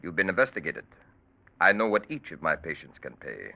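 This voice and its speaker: An old muffled radio crime drama recording. a calculating surgeon with a low voice and wide-swinging intonation. His speech is formal and precise, with a cold, unruffled menace. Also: sing-song, brisk, creaky, exaggerated voice. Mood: cold, menacing. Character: cold, calculating male surgeon extorting his criminal patients.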